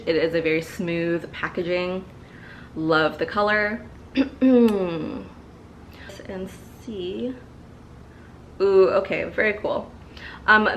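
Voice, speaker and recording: A woman's voice: fairly low pitch, slight background noise. Stuffy-nosed young woman reviewing makeup. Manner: chatty, many fillers and repeated words. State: stuffy from allergies. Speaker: beauty vlogger. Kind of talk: casual product review. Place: living room, seated before a couch.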